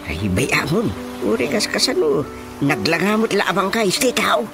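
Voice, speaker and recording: A man's voice: sits high, sing-song, moderate pace, vocal fry, heavily acted. A man with an Ilocano accent playing a flustered, stammering old husband in a radio drama comedy, with music behind. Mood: fretful. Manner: stammering, confused exclamations. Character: old husband, fretful and easily startled.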